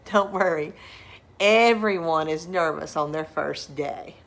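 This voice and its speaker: A woman reading a storybook aloud, voicing a gushing, brisk and reassuring female school principal with emphatic announcements. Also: low voice.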